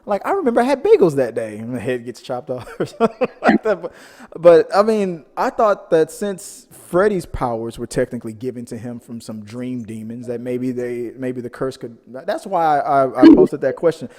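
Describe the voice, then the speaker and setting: A man's voice: medium pitch, expressive, moderate pace, darkish tone. A man hosting a film discussion podcast in a casual, rambling, jokey way, often searching for words. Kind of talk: casual chat. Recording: long hall-like reverb.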